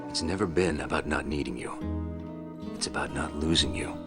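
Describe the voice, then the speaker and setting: A brooding cartoon vigilante, protective and grave. The voice is extremely deep and gravelly, over loud music. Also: male voice, fairly even intonation, normal speed. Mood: guarded, tender. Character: brooding, protective adult male masked vigilante. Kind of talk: animated series acting.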